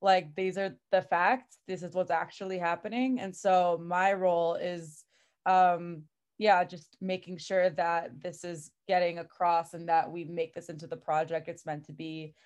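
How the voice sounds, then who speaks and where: A woman's voice: fairly low pitch, fairly even intonation, measured pace, darkish tone, clear, resonant tone. A woman from the Chicago area introducing herself as a project's creative consultant, chatty and laughing with many fillers. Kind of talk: casual group introduction.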